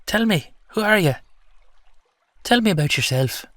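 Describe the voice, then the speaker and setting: Audio roleplay: whimsical, vain, ancient fish-turned-man, male actor. Chatty mythic storyteller, lightly raised pitch, dry close sound. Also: animated, unhurried, fairly bright tone, no echo. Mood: friendly, inviting. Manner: chatty, colloquial, playfully indignant. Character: whimsical, boastful ancient salmon-man, storyteller of Irish myth.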